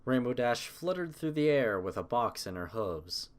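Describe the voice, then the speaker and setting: A man's voice: medium pitch, big pitch swings, moderate pace, very noisy. A man narrating a scripted story as its narrator.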